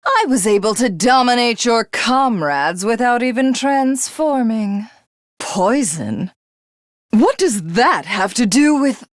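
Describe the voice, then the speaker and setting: A haughty alien villainess from a video game, with a fairly high voice that swings dramatically in pitch. She speaks very slowly and theatrically, as a menacing megalomaniac hungry to devour everything. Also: lively intonation, no echo, studio quality. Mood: haughty curiosity.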